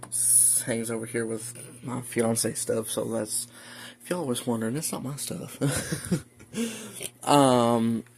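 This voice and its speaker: A man talking casually and haltingly through an unscripted show-and-tell as a hobbyist. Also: mid-range voice, flat delivery, creaky. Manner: halting, hesitant, informal. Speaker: hobbyist video host showing a weather radio collection. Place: indoor room at home. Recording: noisy home video with clicks.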